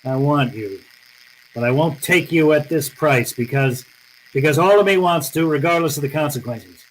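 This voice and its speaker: Man as higher-voiced hard-boiled detective, noisy recording. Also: normal speed, natural voice. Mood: bitter, resolute. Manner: terse, tough, accusing. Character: hard-boiled male private detective, cynical, unyielding. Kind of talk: old-time radio drama acting.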